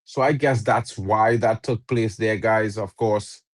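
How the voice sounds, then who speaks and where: A man hosting a live football watch-along, reacting loudly to chances and chatting with guests, English not his first language. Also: on the low side, steady tone, a little slow. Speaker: watch-along stream host.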